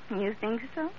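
Femme fatale, theatrical, old radio. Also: female voice, on the high side. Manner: formal, halting English, theatrical. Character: seductive, volatile Iberian femme fatale, murderess. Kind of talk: 1940s radio melodrama acting. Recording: muffled, hissy old radio recording.

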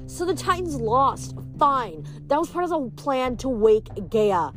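A woman's voice: sits high, sing-song, unhurried, fairly bright tone, pitched above the performer's own voice, full character voice. Woman playing vampire demon leader, female, gloating, menacing, snappish, fantasy audiobook style, music underneath.

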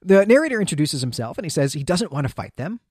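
A man's voice: a little high, very animated, fast, darkish tone, husky, no echo, clean studio sound. A man co-hosting a podcast, talking through a story in a conversational, explanatory way and often checking in with his listener. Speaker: podcast co-host. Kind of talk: conversational literary recap and discussion.

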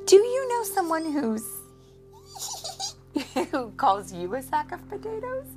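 A woman's voice: very high-pitched, huge pitch swings, slow pace, warm tone, soft background music, pitched above the performer's own voice, full character voice. Woman reading as a playful cartoon dog dad. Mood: teasing.

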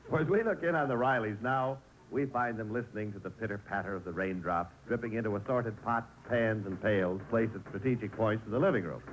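A man's voice: fairly low pitch, fairly quick, a little hiss or hum, poor audio. A man narrating a 1940s radio comedy as announcer, with a wry storytelling manner.

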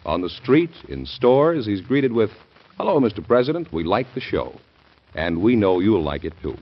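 A man's voice: on the low side, big pitch swings, moderate pace, breathy edge. A man announcing a radio drama with emphatic 1940s delivery. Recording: dull lo-fi old radio recording.

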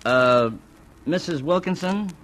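An actor playing a brash, smooth-talking small-time American hoodlum in an old-time radio drama. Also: male voice, a little high, expressive, slow pace, a bit bright, a little hiss or hum. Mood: smooth, coaxing.

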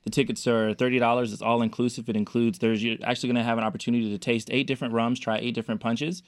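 A man with a smooth, clean voice in the middle range. He talks casually as a guest organizer, laying out event details in an interview. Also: medium pitch, flat delivery, very fast. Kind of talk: casual promotional interview talk.

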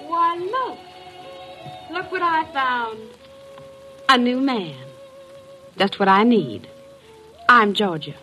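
A tipsy, catty flirt in vintage radio drama. Her voice is bright and very animated, with coy, teasing turns of phrase. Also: on the high side, slow, pauses often, faint music behind, exaggerated voice, low-quality recording. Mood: flirtatious. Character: flirtatious, tipsy, catty female party guest. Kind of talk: old-time radio drama acting.

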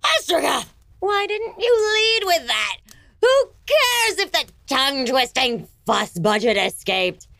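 An actress playing an imperious, easily exasperated villainous empress in a comedic fantasy audio drama, haughty, theatrical and mercurial. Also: female voice, sits high, big pitch swings, slow pace, gravelly, fairly bright tone, dry recording, heavily acted. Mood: excited.

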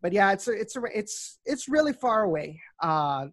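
South Texas interview guest, mid-range voice. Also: female voice, medium pitch, sing-song, measured pace. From Rio Grande Valley, South Texas. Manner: explanatory, conversational. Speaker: guest, soccer reporter. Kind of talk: casual interview chat.

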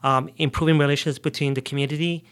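A man from the United States speaking as an interview guest, explaining things earnestly in conversational, somewhat halting phrases. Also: fairly high pitch, fairly even intonation, fairly bright tone, clean tone. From United States, grew up in New York and the South. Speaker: immigration justice advocate, interview guest.

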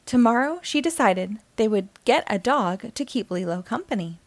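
A woman narrates a children's story in a fairly high voice with very lively, swooping intonation. She reads expressively, as a storyteller for young listeners, and the sound is dry and close. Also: normal speed, no room sound. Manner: animated, acting out dialogue. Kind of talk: expressive read-aloud storytelling.

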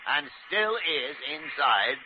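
A shrewd old senior doctor in a 1940s radio drama, wry and jovially teasing. His delivery rises and falls widely, through a muffled recording with clear background noise. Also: fairly high pitch, very animated, slow pace.

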